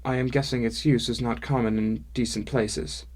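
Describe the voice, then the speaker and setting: A cheerful, loud female dwarf stablehand with oddly formal, stilted phrasing, as a male narrator puts her on. Her voice sits a little higher than his own and keeps a husky edge. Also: steady tone, normal speed, heavily acted. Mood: explaining. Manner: stilted phrasing with much "is being".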